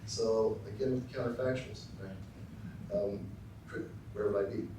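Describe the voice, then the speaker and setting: A middle-aged man from Texas giving a formal acceptance speech with dry, self-deprecating humor, often pausing with ums and uhs. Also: lowish voice, steady tone, dark tone, slight background noise. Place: large lecture hall. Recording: very echoey hall, voice from far off.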